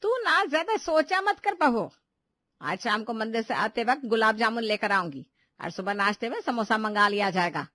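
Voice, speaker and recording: A woman's voice: fairly high pitch, expressive, moderate pace, fairly bright tone, dry recording, studio quality. A woman voicing a strict, suspicious household matriarch in a family story, scolding and domineering. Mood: dismissive. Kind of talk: animated Hindi family story dialogue.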